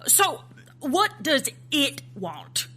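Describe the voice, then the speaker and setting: An actress playing a sharp, skeptical government agent in an audio drama, asking blunt, incredulous questions. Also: female voice, high-pitched, wildly swooping pitch, extremely slow, faint music behind, heavily acted. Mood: skeptical, confused. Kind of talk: naturalistic audio drama acting.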